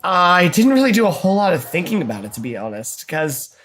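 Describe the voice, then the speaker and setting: A man's voice: high-pitched, very animated, brisk, fairly bright tone, soft background music. A man co-hosting a live game stream, chatting casually and working out his thoughts aloud with many fillers. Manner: musing, theorizing, hedging with fillers. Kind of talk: casual live gameplay chat.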